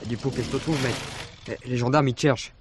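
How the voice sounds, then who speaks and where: An actor playing an agitated, loyal village lad in a French drama, speaking urgently in colloquial slang. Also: male voice, medium pitch, steady tone, quick pace, background noise, natural voice. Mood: urgent, warning.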